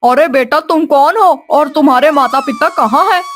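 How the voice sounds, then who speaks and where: A woman voicing a gentle, humble village potter man, earnest and wondering, in a cartoon story. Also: high-pitched, moderate pace, over music, natural voice. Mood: astonished.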